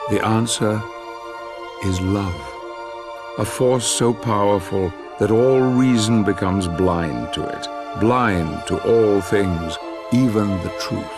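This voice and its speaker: An actor playing a grave, brooding storyteller who narrates a dark fantasy game in a deliberate, dramatic way, with a husky voice. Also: male voice, fairly low pitch. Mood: solemn, brooding. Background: music.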